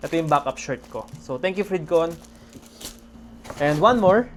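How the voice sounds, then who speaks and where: Excitable Filipino man vlogging over background noise. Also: a little high, measured pace. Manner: excitable, appreciative. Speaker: motorcycle vlogger. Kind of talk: casual vlog talk to camera. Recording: noisy background ambience.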